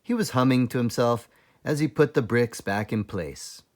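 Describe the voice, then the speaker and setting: A man reading a children's book aloud as a teacher librarian, explaining things deliberately for young listeners. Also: mid-range voice, darkish tone. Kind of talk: children's storybook read-aloud.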